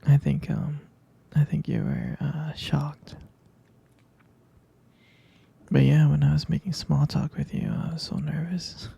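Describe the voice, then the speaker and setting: A man playing a doting, gently teasing boyfriend in an ASMR roleplay, murmuring tenderly and hesitantly in a breathy, gravelly whisper. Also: fairly high pitch, level tone, highs cut off, exaggerated voice. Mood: fond, nostalgic. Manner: slow, tender, hesitant murmuring with pet names.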